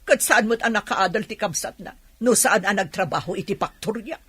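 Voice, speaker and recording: A woman's voice: mid-range voice, a little fast, a bit bright, husky. A woman playing a nosy, grumbling village gossip in a comedy radio drama, with a Filipino Ilocano accent.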